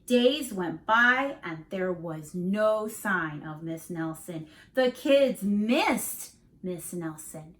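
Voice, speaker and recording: A woman reads a children's story aloud in a teacherly way. Her pace is slow and her pitch is average, with expressive emphasis and asides that question the young listeners.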